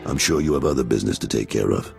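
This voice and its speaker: Gruff older crime boss for a game: blunt, paternal, heavy gravel, music behind. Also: male voice, lively intonation, very fast, heavily acted. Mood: approving. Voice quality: very deep, gravelly. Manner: blunt, tough-talking, paternal. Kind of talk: video game voice acting.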